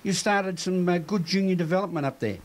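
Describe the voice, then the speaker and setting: An Australian man presents a community TV news bulletin, with a voice pitched a little higher than usual for a man. He speaks in a measured, formal way. He reads out the local weather and asks interview questions.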